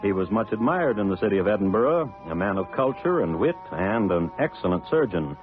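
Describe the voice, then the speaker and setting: A man's voice: fairly low pitch, very animated, a little fast. A man performing as a dry, urbane true-crime narrator, wry and literate, in scripted 1950s radio style. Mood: wry, deliberate. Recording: tinny old radio broadcast with music.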